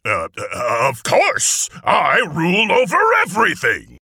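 A blustering storybook king, high and wildly swooping in pitch. He stammers and clears his throat, pompous yet desperate for subjects. His raspy voice is piercingly bright. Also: huge pitch swings, slow, no room sound, studio quality, exaggerated voice. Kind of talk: acted children's audio fairy tale.

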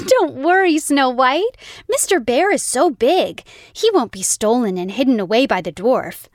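A woman's voice: high-pitched, lively intonation, a little slow, no room sound, studio quality. A woman voicing a bold, playful, kind little girl in a children's storybook. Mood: playful, teasing.

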